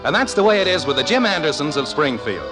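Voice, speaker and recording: A male 1950s radio announcer with a fairly high, very animated voice. He narrates in a theatrical, folksy way over prominent music. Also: quick pace, poor audio.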